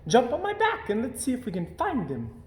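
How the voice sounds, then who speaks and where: A man's voice: extremely high pitch, wildly swooping pitch, a little fast, pitched above the performer's own voice, heavily acted. A man voicing a helpful, apologetic female toy camel in a storybook. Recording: roomy, echoey indoor sound.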